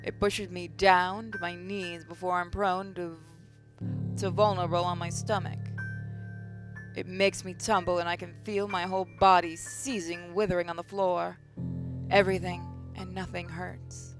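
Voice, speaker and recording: A woman's voice: lowish voice, little pitch movement, moderate pace. A woman hosting a gaming video, reading a visual novel aloud expressively, with casual asides. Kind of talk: dramatic first-person story reading with casual asides. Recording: clear game music behind the voice. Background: game music.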